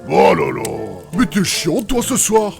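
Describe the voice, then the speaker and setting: A man's voice: high-pitched, animated, a little slow, creaky, music in the background, full character voice. A man playing a blunt, foul-mouthed, mocking adventurer in a comedic fantasy audio drama. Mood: exasperated. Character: gruff, crude, mocking male adventurer, bluntly macho.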